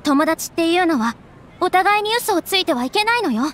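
A woman voicing a gentle, caring young noblewoman who speaks softly and politely in a game cutscene. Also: very high voice, expressive, brisk, a little hiss or hum. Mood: earnest. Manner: soft, polite, refined. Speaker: game character.

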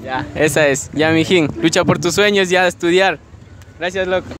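A man's voice: high-pitched, normal speed. A young man with an Ecuadorian Spanish accent, asking teasing questions in a casual street interview. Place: outdoor city street. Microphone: phone held out as a mic. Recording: music playing over the street sound.

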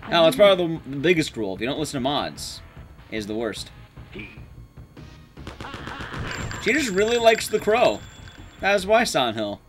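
Young adult man, higher voice, lively intonation, wry casual speedrun commentary, swearing, game music behind. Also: normal speed, pauses often. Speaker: streamer, speedrunner. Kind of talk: casual gameplay commentary to chat.

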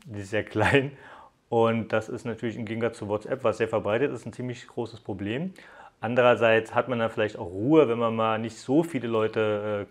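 A young man giving a casual, conversational hands-on review to viewers, explaining things informally as he goes. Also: medium pitch. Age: young adult. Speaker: tech app reviewer. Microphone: lapel mic.

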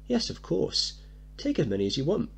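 Man acting a posh little boy, high and eager. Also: wildly swooping pitch, normal speed, gravelly, husky, heavily acted. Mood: awed, curious. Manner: proper, polite, wide-eyed. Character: polite posh seven-year-old boy, bright, innocent, eager.

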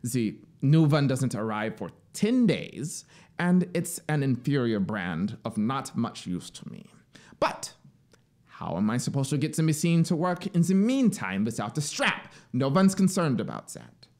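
A man reading a story puts on a fanatical military officer, full of grievance. He complains emphatically and indignantly, with an animated voice that swoops in pitch. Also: a little slow. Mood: aggrieved, confiding. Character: fanatical, aggrieved male officer devoted to his execution machine. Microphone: close studio microphone on boom arm.

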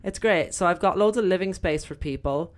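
A young woman with a slightly croaky voice, chatting casually through gameplay commentary with lots of fillers. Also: lowish voice, fairly quick. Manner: chatty, rambling, many fillers. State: croaky, voice recovering. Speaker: gaming video host.

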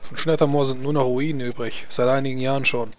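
A man's voice: mid-range voice, fairly even intonation, fast, close to an everyday voice. A man playing a blunt, sardonic male gate guard in a tabletop game.

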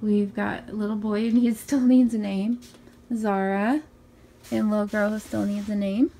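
A young woman with a raspy morning voice, chatting casually through a tour of her small bedroom, filmed on a handheld phone. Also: medium pitch, little pitch movement, a little slow, warm tone. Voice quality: raspy from just waking up. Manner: chatty, upbeat, many ums and likes. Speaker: vlogger. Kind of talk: casual room tour vlog. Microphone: handheld phone camera.

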